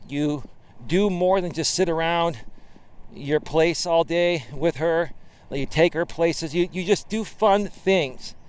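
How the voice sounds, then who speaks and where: Male dating coach, late 40s, smooth voice sitting slightly high, emphatic walking-vlog delivery recorded outdoors. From Bay Area, California. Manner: emphatic, keeps tagging "right?". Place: outdoor trail.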